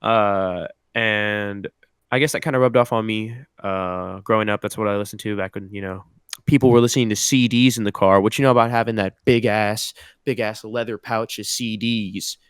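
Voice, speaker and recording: A man's voice: lowish voice, fairly even intonation, normal speed, smooth, dry recording. A young man in his twenties from Southern California co-hosting a podcast, rambling casually with slang and fillers. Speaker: podcast co-host. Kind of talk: casual chat.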